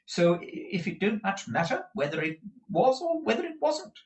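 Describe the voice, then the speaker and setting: A man's voice: sits high, sing-song, moderate pace, voice pushed up. A man voicing a fussy, gushing middle-aged mother from a storybook, emphatic, exclamatory and rambling. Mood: emphatic, scatterbrained.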